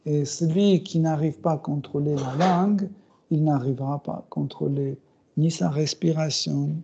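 A middle-aged man giving a scripture class in a small room, speaking slowly and hesitantly with many fillers and soft chuckles. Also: a little high, steady tone, slight background noise. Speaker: spiritual teacher. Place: small room with bookshelves, indoors. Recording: dull, muffled recording.

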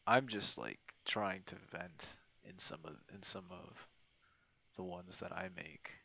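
A man chatting casually in a late-night ASMR style, rambling and halting in an informal way. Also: low-pitched, creaky, sharp tone, a little hiss or hum. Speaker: ASMR roleplay creator. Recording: dull, muffled lo-fi audio.

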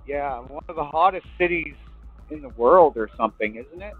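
A man on a prank call, his slightly high voice needling and taunting a scammer, bursting into swearing. The sound is tinny and phone-like, with music faint behind. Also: lively intonation, moderate pace, husky, dry recording. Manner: taunting, swearing, sings and yells. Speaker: scam baiter.

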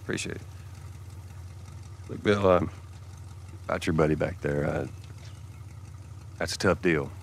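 An actor playing a gruff, guarded middle-aged survivor with a Southern US accent and a terse drawl, in a cinematic video game. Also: male voice, extremely low pitch, measured pace, long pauses, a little hiss or hum, full character voice. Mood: subdued, awkward. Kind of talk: naturalistic cinematic game acting.

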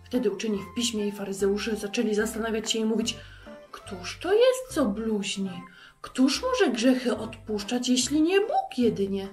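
Expressive woman storyteller for children. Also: fairly high pitch, normal speed, soft background music. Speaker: children's Bible show presenter. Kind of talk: expressive scripture reading and talk to children.

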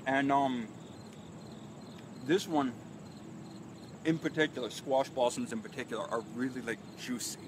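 A man's voice: medium pitch, unhurried, pauses often. Man giving a farm tour outdoors. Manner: enthusiastic, explanatory, walking and pointing at plants. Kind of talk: live guided tour. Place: outdoor vegetable garden in a park. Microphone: phone camera a few feet away. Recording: outdoor phone recording with noise.

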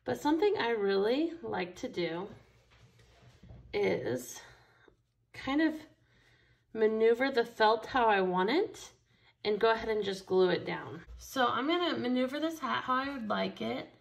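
A woman's voice: on the high side, animated, a little slow, creaky. A woman teaching a casual craft tutorial, chatting enthusiastically as she works with her hands at a table. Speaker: craft store instructor. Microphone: overhead camera, only her hands seen.

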